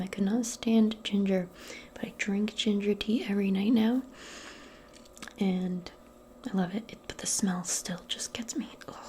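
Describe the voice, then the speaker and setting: A woman murmurs in a soft, breathy voice that often drops to a whisper. Her tone is dark and mellow, and she takes her time. She plays a modern witch in a casual, gentle ASMR personal attention session, with faint ambient noise behind her. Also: medium pitch. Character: modern witch giving a calming spell appointment. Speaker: ASMR role-play host.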